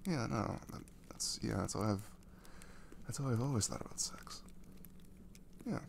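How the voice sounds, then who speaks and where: A man playing a caring, reassuring young boyfriend in an ASMR roleplay, speaking gently and hesitantly to his nervous girlfriend. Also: fairly low pitch, expressive, moderate pace, creaky, slight background noise. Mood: earnest, casual. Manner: hesitant, gentle, soothing, with soft chuckles.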